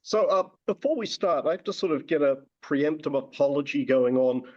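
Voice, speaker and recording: A man's voice: a little high, lively intonation, normal speed, darkish tone. A man interviewing a guest in a casual video call chat, with a wry, joking, conversational manner. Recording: dull lo-fi video call audio.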